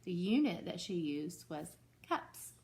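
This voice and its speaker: A woman's voice: fairly low pitch, very animated, slow pace, gravelly, darkish tone. A woman teacher reading a picture book aloud to her second-grade class and stopping to explain it patiently.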